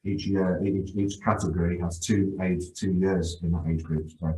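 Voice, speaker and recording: Middle-aged guy, really low voice, keeps restarting, gets into it, roomy echo around him. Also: level tone, normal speed, warm tone. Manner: enthusiastic, with frequent restarts and repeated words. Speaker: guest, youth football coach. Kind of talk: casual podcast interview. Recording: echoey room.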